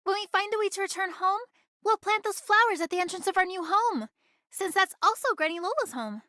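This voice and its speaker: An eager, bossy anime game girl with a very high, sharp and bright voice. Her delivery is peppy and swings widely up and down. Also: animated, brisk, no echo, studio quality, heavily acted. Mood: peppy, playful. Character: peppy, bossy, eager young female first mate.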